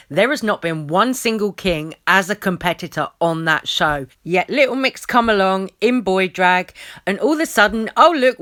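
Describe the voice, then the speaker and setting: A woman's voice: mid-range voice, fairly bright tone. A woman hosting an opinionated commentary video, speaking in an emphatic, conversational way.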